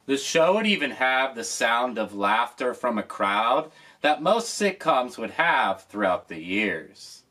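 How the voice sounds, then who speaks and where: A man's voice: medium pitch, sing-song, takes it slowly, sharp tone. A man hosting a nostalgic commentary, talking about an old show with enthusiasm and emphasis. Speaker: host.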